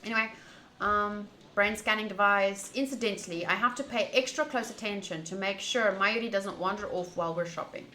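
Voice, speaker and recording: A woman with a bright, crisp-edged voice does casual visual novel commentary, switching between reading aloud and chatting. The sound is roomy and echoey, with faint noise behind her. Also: mid-range voice, fairly quick. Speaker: streamer playing a visual novel.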